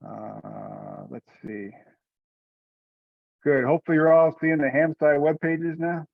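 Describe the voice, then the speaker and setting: A man chairing an informal online meeting in a relaxed, colloquial way. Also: on the high side, slow, creaky, no echo. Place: online video conference call. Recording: dull, lo-fi call audio.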